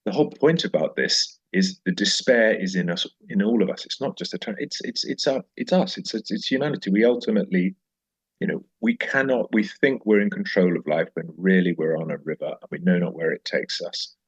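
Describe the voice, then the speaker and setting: Male podcast guest, middle-aged, very low voice, emphatic and conversational about literature, slightly muffled lo-fi sound. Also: brisk, husky. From South Africa. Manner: emphatic, with repeated phrases and rhetorical questions. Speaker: podcast guest discussing a poem. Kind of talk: animated conversational literary discussion.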